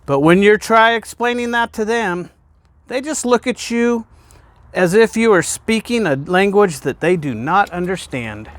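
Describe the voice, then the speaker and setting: A man reading a children's picture book aloud in an expressive storytelling way, with emphatic pauses and questions put to the listener. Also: high-pitched, dry recording. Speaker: guest reader, fire department deputy chief.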